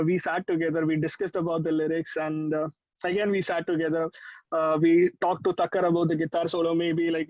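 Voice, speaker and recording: A man's voice: fairly high pitch, a little mellow, no echo. A man with an Indian accent, a musician in an interview, casually explaining how he works, with hesitant, conversational phrasing. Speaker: musician in a pop duo, interview guest. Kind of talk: casual interview chat, explaining a creative process. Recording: dull lo-fi online-call sound, dry.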